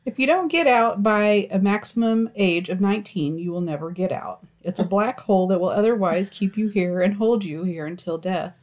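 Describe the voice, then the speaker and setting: A woman's voice: mid-range voice, normal speed, hardly any pauses. Wry woman reading stories aloud. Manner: wry, deadpan asides and swearing. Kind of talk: casual chat reading posts aloud.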